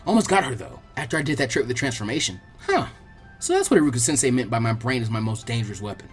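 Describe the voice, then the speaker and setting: A man's voice: medium pitch, very animated, quick pace, soft background music, pitched below the performer's own voice, natural voice. A man voicing a brash, earnest young ninja boy, informal and eager, for a fan fiction audiobook. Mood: musing, determined.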